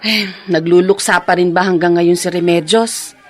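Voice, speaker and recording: An actress playing a kindly, chatty village fish vendor and loyal friend in a Filipino radio drama, teasing and expressive. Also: female voice, lowish voice, normal speed, close to an everyday voice. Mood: teasing, curious.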